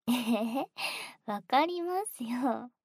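Actress as a teasing young junior: slow, husky whispers and giggles, fairly high voice. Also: female voice, expressive, darkish tone, no echo, studio quality, full character voice. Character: teasing playful young woman, a junior to the listener. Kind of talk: whispered ASMR roleplay.

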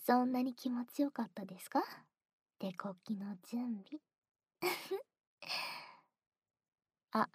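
Actress as a teasing young junior: slow, husky whispers and giggles, fairly high voice. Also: female voice, no room sound, clean studio sound. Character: teasing playful young woman, a junior to the listener. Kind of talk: whispered ASMR roleplay.

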